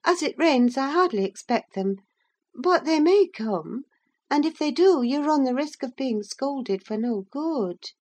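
A woman's voice: sits high, expressive, measured pace, a little mellow, pitched above the performer's own voice. A woman voicing a petulant, flighty teenage girl with a peevish, exclaiming manner in a classic novel audiobook. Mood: guarded. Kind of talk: audiobook character acting.

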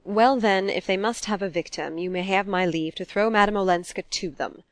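A woman reading a storybook as an ironic, impatient young gentleman, polite but argumentative. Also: medium pitch, animated, normal speed, no room sound, lightly acted. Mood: exasperated.

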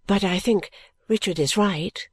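Woman acting affectionate young lady, trusting, confiding, gentle eagerness, mid-range pitch, audiobook dialogue, dry. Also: measured pace, breathy edge, no echo. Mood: agreeable.

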